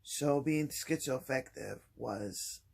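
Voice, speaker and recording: A man talking softly and haltingly in a personal vlog, with many pauses and restarts. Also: gravelly. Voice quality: low voice. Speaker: vlogger. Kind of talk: personal vlog, reflective talk.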